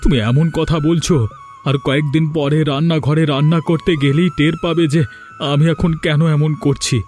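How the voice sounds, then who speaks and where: Farmer husband, acted by a man, higher male voice, worried, affectionate, expressive cartoon delivery, background music. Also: normal speed, natural voice. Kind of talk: Bengali cartoon voice acting.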